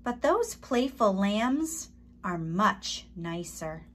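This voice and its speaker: Woman voicing a wistful, slow-talking mother hen. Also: mid-range voice, big pitch swings, background noise. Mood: wistful, sad. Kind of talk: children's storybook character voice.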